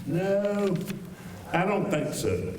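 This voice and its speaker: A man's voice: sits high, very animated, extremely slow, a little mellow, full character voice. A man playing a fretful, talkative general store keeper in a live old-time radio western, folksy and rambling. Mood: wistful, gossipy. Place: high school auditorium stage. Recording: echoey stage, distant mic, faint noise.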